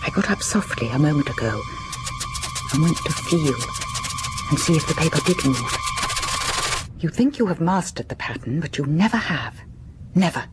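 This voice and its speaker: An actress playing a secretive, obsessive confined wife sliding into madness in a gothic audio drama monologue, halting and often slipping into breathy whispers. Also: female voice, sits low, expressive, loud music behind the voice. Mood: uneasy, then obsessed, haunted.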